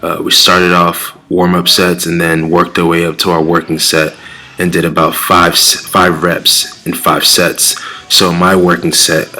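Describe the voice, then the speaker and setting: A man's voice: deep voice, pushed and bright, poor audio. A young man with a Nigerian accent talking casually and earnestly to camera in a fitness vlog, often repeating words as he searches for the next one. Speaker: fitness vlogger.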